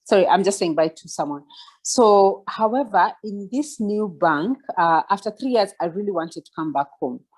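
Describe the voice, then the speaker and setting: A woman's voice: mid-range voice, animated, normal speed, a little mellow, low-quality recording. A woman in her forties giving a reflective mentoring talk over a video call, with many fillers and restarts. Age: 40s. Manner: reflective, many fillers and restarts, asks listeners to follow along. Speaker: guest speaker.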